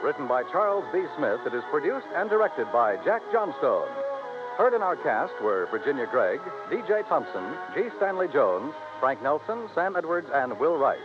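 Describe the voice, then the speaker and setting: A male radio announcer in 1950s style, fairly high-pitched and very animated. He reads a script over music on a muffled old broadcast recording. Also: moderate pace.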